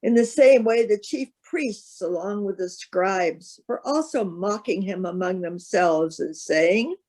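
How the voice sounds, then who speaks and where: Female reader for scripted gospel narration, mid-range pitch. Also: measured pace, darkish tone.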